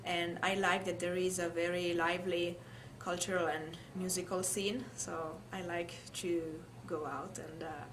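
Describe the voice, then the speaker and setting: Woman, Italian accent, lower pitch, thoughtful interviewee, personal recollection, faint background noise. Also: little pitch movement, a little slow, hardly any pauses. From Italy. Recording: clear background noise, room ambience.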